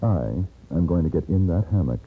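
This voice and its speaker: A man's voice: low voice, expressive, normal speed, slightly rough, exaggerated voice. A man playing a wry, self-assured American gunfighter in a 1950s radio western. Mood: easygoing. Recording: tinny old radio recording.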